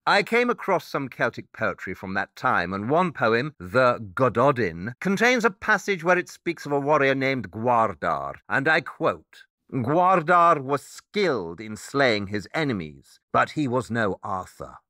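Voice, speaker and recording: A precise, animated pedantic detective. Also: male voice, mid-range voice, unhurried, few pauses, no room sound, studio quality. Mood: intent, lecturing. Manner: precise, didactic, emphatic. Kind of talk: scripted audio drama dialogue.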